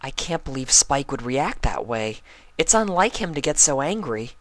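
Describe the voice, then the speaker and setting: A man's voice: medium pitch, very animated, normal speed, a bit bright, no room sound, voice pushed up. A man voicing an earnest, concerned female pony in a serious manner for a storybook reading. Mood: troubled.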